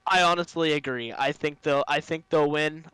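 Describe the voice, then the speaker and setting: A man's voice: on the high side, moderate pace, fairly bright tone, smooth, no echo, poor audio. A man on a sports podcast panel, chatting casually with informal, emphatic phrasing.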